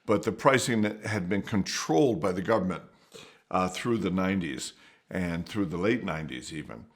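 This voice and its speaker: A man's voice: low voice, normal speed. A middle-aged man telling a personal story at home in a rambling, conversational way, often restarting his sentences and adding asides. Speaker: storyteller, mission worker. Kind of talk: casual spoken anecdote.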